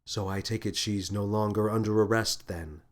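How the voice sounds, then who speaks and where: A man voicing a calm, patient female ship's officer in a children's audiobook, dry, polite and flat. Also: fairly low pitch, normal speed, close to an everyday voice. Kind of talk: children's space adventure audiobook.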